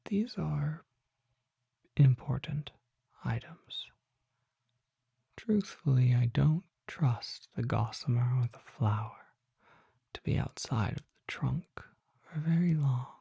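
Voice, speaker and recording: Performer acting patient vampire apothecary, breathy whisper, very dark tone, solemn and gentle, formal ASMR roleplay. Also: medium pitch, expressive, no echo, heavily acted. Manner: slow, deliberate, formal phrasing.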